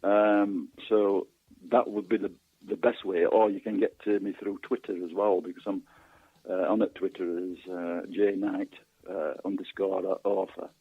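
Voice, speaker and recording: Hesitant, husky man on a phone line. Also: low-pitched, little pitch movement, measured pace. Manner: hesitant, often repeats words mid-sentence. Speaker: author and historian interviewed as a guest. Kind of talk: casual radio interview. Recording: muffled, narrow phone-line sound with echo.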